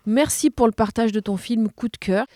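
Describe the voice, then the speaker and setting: Mellow-voiced woman hosting a podcast. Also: fairly high pitch, moderate pace, dry recording, studio quality. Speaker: podcast host. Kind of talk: podcast presenting, measured explanation.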